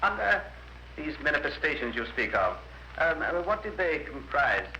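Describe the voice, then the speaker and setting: A man's voice: fairly high pitch, big pitch swings, moderate pace, pushed and bright, highs cut off, heavily acted. An actor playing an authoritative male judge, formal and hesitant, in a 1950s radio courtroom drama. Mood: inquiring. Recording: roomy, noisy vintage radio recording.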